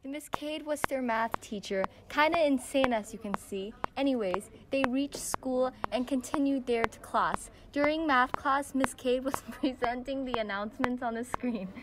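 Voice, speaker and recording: High young girl narrating a story. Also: normal speed, few pauses. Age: young teen. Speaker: narrator of a school audio drama. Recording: clicky amateur recording with background noise.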